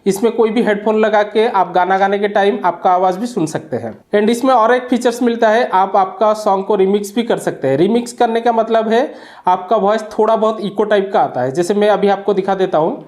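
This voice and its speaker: A man's voice: high voice, brisk, darkish tone. A man giving a product demonstration and review, explaining plainly step by step.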